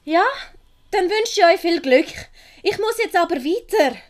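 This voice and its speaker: A woman's voice: very high voice, animated, unhurried, voice pushed up. A woman voicing a young, insistent servant maid in a comic audio play, urgent and plucky. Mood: brisk, friendly.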